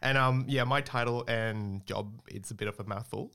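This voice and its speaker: A man's voice: mid-range voice, steady tone, moderate pace, sharp tone, clean tone. A young man from Singapore, a scientist guest, explaining his work in a chatty way with lots of fillers and restarts. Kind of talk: casual interview talk.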